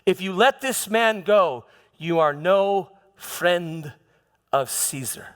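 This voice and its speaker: A man playing a calm, calculating rabbi who threatens quietly in a measured, insistent stage delivery. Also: high voice, clear, resonant tone, heavily acted. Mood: calm menace. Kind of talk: theatrical church monologue. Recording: reverberant hall with music.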